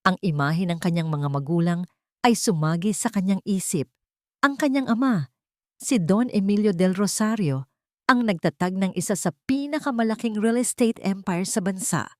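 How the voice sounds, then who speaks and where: A woman's voice: medium pitch, expressive, normal speed, darkish tone, no room sound, studio quality. A woman reading a dramatic scripted love story as its narrator.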